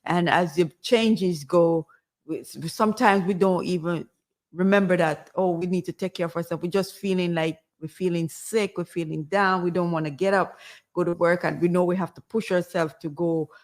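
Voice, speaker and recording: A woman with a Jamaican accent, chatting casually as a guest and sharing health tips in a gentle, rambling way. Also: on the low side, steady tone, normal speed. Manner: gentle, rambling, many fillers. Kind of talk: casual health advice chat.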